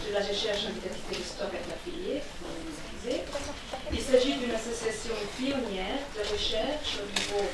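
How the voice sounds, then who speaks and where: Woman giving a speech in an echoey hall. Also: medium pitch. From Italy. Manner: measured, frequent hesitations, reads prepared remarks. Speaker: association president giving opening address. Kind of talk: formal opening speech. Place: conference hall. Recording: echoey hall, speaker far from mic.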